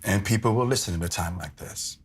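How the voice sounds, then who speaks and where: Deep-voiced, earnest church activist. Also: male voice, a little fast, husky. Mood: earnest, persuasive. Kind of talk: film drama dialogue.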